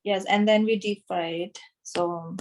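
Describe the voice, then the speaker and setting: A woman in her thirties with an Indian accent, teaching an informal online class in a halting way, checking in as she goes. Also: medium pitch, steady tone, a little hiss or hum. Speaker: anatomy teacher at a yoga school. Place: small room with a whiteboard, indoors. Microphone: device camera a short distance away, video call.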